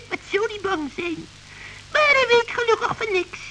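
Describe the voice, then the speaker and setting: A woman's voice: very high voice, lively intonation, measured pace, sharp tone, slight background noise, full character voice, poor audio. A woman voicing a scheming, gloating female forest witch in a children's radio play, cackling and drawing out her words.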